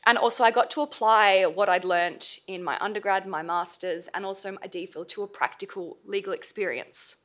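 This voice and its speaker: A woman's voice: mid-range voice, moderate pace, hardly any pauses, fairly bright tone. A young woman speaking on a panel at a table mic, hesitant with many fillers, her voice tired and fading. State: losing her voice. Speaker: panel speaker, former intern. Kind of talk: informal panel talk. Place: seminar room, panel table.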